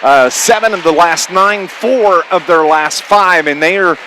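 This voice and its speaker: A man's voice: sits high, a little slow, bright, forceful tone. A man doing live radio play-by-play of a basketball game, in clipped running phrases. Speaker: radio play-by-play sports announcer. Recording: noisy live gym broadcast. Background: gymnasium ambience, bouncing basketballs.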